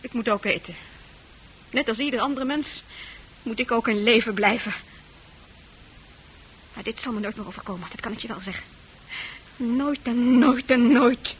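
An actress in a Dutch radio play playing a scornful, proud prostitute with a brash, mocking manner. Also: female voice, a little high, normal speed, pauses often, slight background noise, lightly acted, low-quality recording. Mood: bitter, ashamed. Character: hard, scornful prostitute, proud, wounded underneath.

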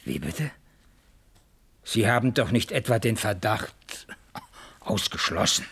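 Earnest, defensive factory manager. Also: male voice, lowish voice, lively intonation, slow pace, creaky, a bit bright, breathy edge, heavily acted. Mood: shocked, defensive. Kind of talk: German radio crime drama acting.

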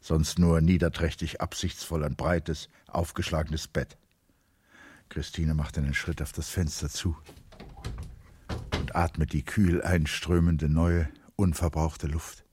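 An actor as a grave, brooding narrator of a literary radio drama, with a husky, breathy voice. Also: male voice, a bass voice, little pitch movement, unhurried, darkish tone, full character voice. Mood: grave, tense.

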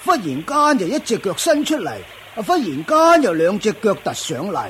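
A man playing a pompous, strict master of forest ascetics in a radio drama, lecturing with drawn-out grunts and fillers. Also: high voice, big pitch swings, a bit bright, a little hiss or hum. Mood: stern lecturing. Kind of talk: Cantonese Buddhist radio drama acting.

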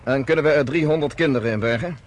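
A man playing a brisk commanding leader. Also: medium pitch, slight background noise, lightly acted. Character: decisive man commanding a refugee voyage. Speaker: leader organizing a ship. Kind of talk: radio play acting.

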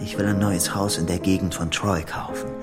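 A man's voice: deep voice, level tone, moderate pace, over music, close to an everyday voice. An actor in a German radio drama playing an obsessive, lovesick man who is guarded, bitter and easily angered, with an intense, brooding manner. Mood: yearning, brooding.

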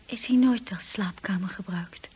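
An actress playing a timid, anxious young bride in a radio play, narrating and speaking in a hushed, hesitant way. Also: female voice, mid-range voice, moderate pace. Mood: polite, timid. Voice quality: breathy, husky.

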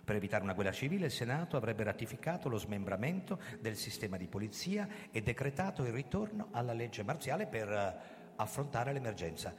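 An actor playing an embattled, commanding police commissioner in a radio drama, theatrical and sardonic, worldly-wise in manner. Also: male voice, mid-range voice, sing-song, a little fast. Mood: measured narration. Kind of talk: radio drama acting and first-person narration. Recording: reverberant stage sound, loud music behind. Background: music.

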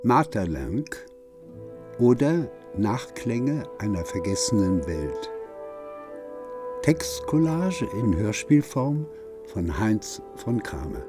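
A man's voice: fairly low pitch, very animated, slow, music in the background. A man reading a scripted radio play title as announcer.

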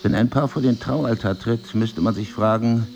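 An actor playing a married crime novelist in an intimate drama, husky and articulate, probing, cynical and wounded, with deliberate literary phrasing. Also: male voice, lowish voice, a little mellow, slight background noise, low-quality recording. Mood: cynical, declamatory. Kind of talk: intimate two-person stage drama dialogue.

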